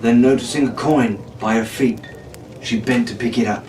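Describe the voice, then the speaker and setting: A man narrates a storybook from a script in a mid-pitched voice. The room is echoey and he sits some way from the mic, with faint hiss behind him. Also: steady tone, normal speed, a little mellow, recorded from a distance. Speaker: audiobook reader.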